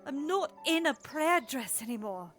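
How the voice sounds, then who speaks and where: A woman acts out a young princess with a very high voice, proud and stubborn. Her speech is formal and wordy, as in a fantasy audio play, and quick to sound exasperated. Music plays behind her. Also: big pitch swings, a bit bright. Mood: indignant. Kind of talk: scripted audio drama acting.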